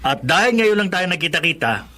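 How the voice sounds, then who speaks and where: A man's voice: fairly high pitch, big pitch swings, brisk, bright, forceful tone. An actor playing a genial, teasing young man in a chatty radio drama, with a Filipino accent. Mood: cheerful.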